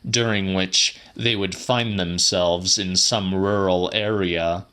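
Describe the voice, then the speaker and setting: A man narrating a literary audiobook in a measured, deliberate, dramatic style. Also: deep voice, steady tone, bright, forceful tone, clean tone.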